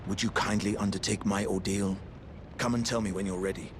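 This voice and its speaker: An actor playing a proud, jovial living warrior jar in a video game, speaking in a grandiose, old-fashioned heroic manner. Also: male voice, fairly low pitch, fairly even intonation, brisk, background noise, natural voice. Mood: hearty, admiring. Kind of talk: video game character dialogue.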